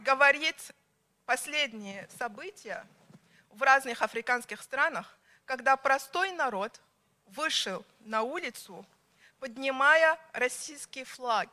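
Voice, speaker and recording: A woman delivers a formal conference speech in a high, bright voice. She speaks slowly, stressing each word and pausing between the items of her lists. The tone stays measured and deliberate throughout. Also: animated. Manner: slow, emphatic, pausing between list items.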